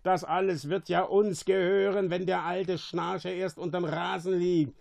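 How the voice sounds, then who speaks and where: A man's voice: sits high, measured pace, vocal fry, darkish tone, pitched above the performer's own voice, exaggerated voice. A man voicing a brutish, servile and spiteful monster servant in a storybook, hissing and whispering conspiratorially. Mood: seething impatience.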